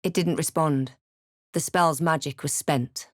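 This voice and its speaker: A woman playing a snarky young female adventurer in a video game, with a measured, dry and dramatic scripted delivery. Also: low voice, steady tone, dry recording. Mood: solemn narrating. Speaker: video game player-character voice actor. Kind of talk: scripted game voice lines, narration and spell incantations.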